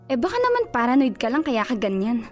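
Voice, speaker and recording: High-voiced, reasoning Filipina friend. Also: female voice, very animated, a little fast, faint music behind. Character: calm, reasoning young female friend. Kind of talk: Filipino radio drama acting.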